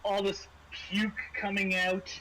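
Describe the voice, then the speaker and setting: A man running a tabletop roleplaying game, narrating casually and haltingly, often repeating words. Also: high-pitched, monotone, bright, forceful tone, a little hiss or hum, low-quality recording. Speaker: game master.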